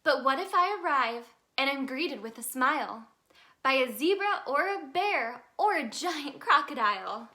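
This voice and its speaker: Lady reading a kids picture book, really high bright voice, sing-songy and expressive. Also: measured pace. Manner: expressive, sing-song delivery for young children. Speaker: children's storybook reader, in a tooth fairy persona. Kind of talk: read-aloud children's story narration.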